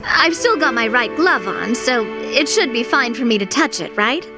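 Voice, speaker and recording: Cheerful young noblewoman, high pitch, very animated melody, game dialogue, music behind. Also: normal speed. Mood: careful. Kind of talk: video game voice acting.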